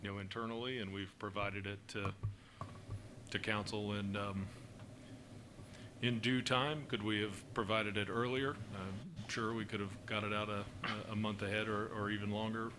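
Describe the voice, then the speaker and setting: A low-voiced man speaking in an even, nearly flat monotone, explaining things steadily with many fillers. He is at a desk microphone in a city council chamber. The recording carries a clear hiss of background noise. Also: moderate pace. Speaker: fire service official. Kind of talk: answering questions at a council meeting. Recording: noisy meeting room recording.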